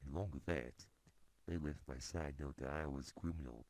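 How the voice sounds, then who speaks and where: A man voicing a gruff, food-stealing male forest creature in an amateur cartoon, explaining things slowly. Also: extremely low pitch, darkish tone, no room sound. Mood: matter-of-fact.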